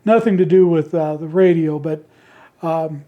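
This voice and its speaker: A man with a hoarse, worn-out voice, chatting casually about his handmade radio gadgets in an easygoing, rambling way from his indoor radio shack. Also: a little high, a little slow, phone-quality sound. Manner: easygoing and rambling, homespun phrasing. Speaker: ham radio channel host selling handmade keypads. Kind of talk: casual product talk to camera.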